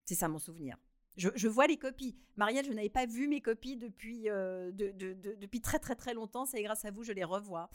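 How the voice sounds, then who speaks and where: Woman, interview guest; animated, laughing, halting with repeated words. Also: medium pitch. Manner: expressive, laughing, loud bursts of emphasis; talks with many hesitations and repeated words. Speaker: guest author. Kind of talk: radio interview conversation.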